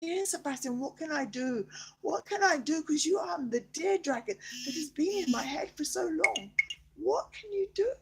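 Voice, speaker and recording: A woman's voice: sits high, lively intonation, moderate pace, gravelly, darkish tone, voice pushed up. A woman acting out a tender, earnest storybook character who coos and coaxes a shy creature, repeating herself. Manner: cooing, coaxing, repetitive.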